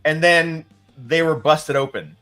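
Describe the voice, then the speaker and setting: A man's voice: on the high side, a little slow, sharp tone, soft background music. Man chatting animatedly about a book series. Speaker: podcast co-host. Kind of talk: casual fan discussion.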